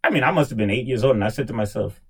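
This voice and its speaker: A man's voice: mid-range voice, expressive, racing, fairly bright tone, slightly rough. A man in his thirties co-hosting a casual podcast, teasing his sister with a sarcastic, playful wit. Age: 30s. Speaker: co-host, brother. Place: studio.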